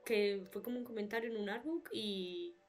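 A woman's voice: medium pitch, moderate pace, darkish tone, soft background music. A young woman from with a cold, chatting and laughing often on a video call. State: has a cold. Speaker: podcast co-host. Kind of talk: casual chat. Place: home room, indoors. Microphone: webcam on a video call.

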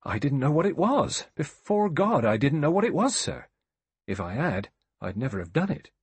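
A flustered storybook butler, performed by a man, pleads his case with servile earnestness. The husky voice swings widely in pitch and sounds defensive. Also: very animated, moderate pace, no room sound, heavily acted. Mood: desperate protest. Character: flustered, earnest, deferential male butler protesting innocence. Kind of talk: audiobook character voice.

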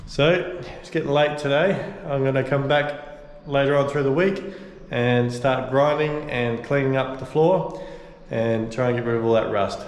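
A man's voice: medium pitch, normal speed. A man in his thirties giving a casual, plain-spoken walk-through of repair work, thinking aloud as he goes. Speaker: boat restorer and vlogger. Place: inside a bare steel boat hull under restoration. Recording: echoey metal room, background noise.

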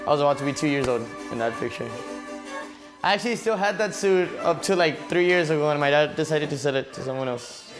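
A man's voice: a little high, brisk, music in the background. A young man, talking casually in an interview as a student musician. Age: late teens. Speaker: high school mariachi violinist. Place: small studio room, indoors.

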